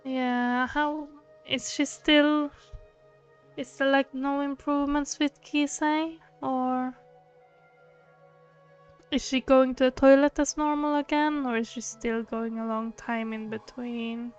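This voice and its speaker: A woman's voice: high-pitched, steady tone, takes it slowly, smooth, muffled recording. A woman streamer chatting casually and affectionately while she plays a game. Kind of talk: casual livestream chat. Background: game music.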